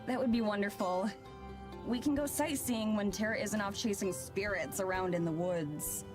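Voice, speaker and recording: A woman's voice: mid-range voice, moderate pace, close to an everyday voice. An actress playing a friendly, easygoing young woman with a teasing wit in a visual novel. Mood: pleased, teasing. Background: game music.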